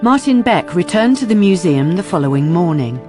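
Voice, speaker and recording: A woman's voice: on the low side, big pitch swings, brisk, dark tone, soft background music. A woman narrating a scripted radio drama.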